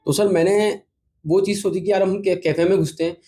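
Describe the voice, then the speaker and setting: A man's voice: high-pitched, steady tone, fairly quick, mellow, faint music behind. A man from India with an Indian accent, answering interview questions in a polite, explanatory, conversational way. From Indore, India. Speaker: interview guest, entrepreneur. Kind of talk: conversational interview answers mixing Hindi and English.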